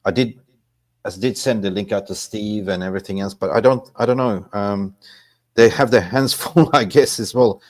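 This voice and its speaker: A man's voice: on the low side, normal speed, darkish tone. A man co-hosting a live football fan stream in loose, casual chat.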